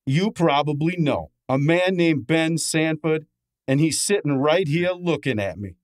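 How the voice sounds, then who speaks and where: A man's voice: fairly high pitch, expressive, a little slow, no echo, clean studio sound. Man acting a breezy wisecracking radio sidekick. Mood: eager, teasing. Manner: breezy, slangy, teasing. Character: brash, wisecracking detective's assistant, loyal streetwise man. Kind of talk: old-time radio drama acting.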